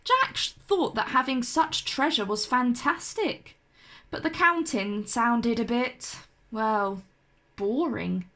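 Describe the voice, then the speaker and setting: A woman reading a children's storybook aloud as narrator, with expressive, deliberate delivery. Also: fairly high pitch.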